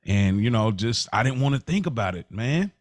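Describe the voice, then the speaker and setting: A man's voice: mid-range voice, big pitch swings, moderate pace, no room sound. A man co-hosting a podcast, talking candidly and reflectively in a casual, slangy way. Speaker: podcast co-host.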